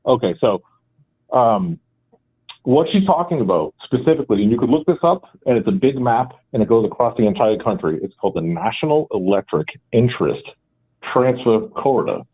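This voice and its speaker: A man's voice: medium pitch, animated, brisk, slightly rough, no room sound. Boston guy calling in from home, rambles on and on, tinny phone line. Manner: rambling, emphatic explainer. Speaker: caller. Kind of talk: call-in radio talk. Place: home, with his wife beside him. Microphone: speakerphone, then handset.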